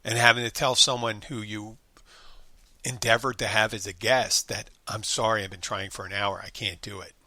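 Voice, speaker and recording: An American man talks alone on his podcast, wandering from thought to thought and often restarting his sentences. His voice sits low but has a bright, forward edge. The recording is close and dry, with no room sound. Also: little pitch movement, moderate pace, no room sound. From United States. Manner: rambling, with frequent restarts and fillers. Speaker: podcast host and bartender. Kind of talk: solo podcast monologue.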